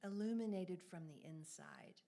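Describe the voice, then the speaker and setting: A woman's voice: on the low side, moderate pace, creaky, warm tone. A woman who is an abstract painter, speaking earnestly and reflectively about her work at a gallery talk. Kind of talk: public artist talk answering questions. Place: gallery event space.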